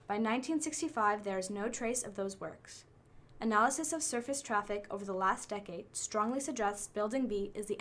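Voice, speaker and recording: A woman's voice: medium pitch, fairly bright tone, close to an everyday voice. A woman playing an impersonal machine intelligence in a radio play, reporting findings in a formal, precise, matter-of-fact way.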